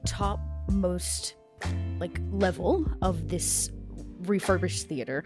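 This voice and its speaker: A woman with a low voice plays a tabletop game in character, languid and arch with a sardonic edge. Music is clearly audible behind her. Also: slow. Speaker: player. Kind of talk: in-character roleplay.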